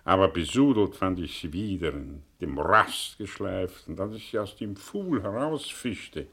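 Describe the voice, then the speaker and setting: An actor playing a melancholy, sardonic exiled professor in a German radio drama, musing and declaiming with long pauses. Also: male voice, deep voice, lively intonation, fairly bright tone, breathy edge, full character voice. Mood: bitter ironic.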